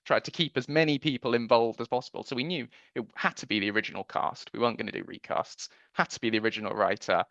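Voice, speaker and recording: A man's voice: mid-range voice, a bit bright, no room sound. Earnest man in a dry, muffled interview. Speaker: interview guest, audio drama producer. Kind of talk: conversational radio interview.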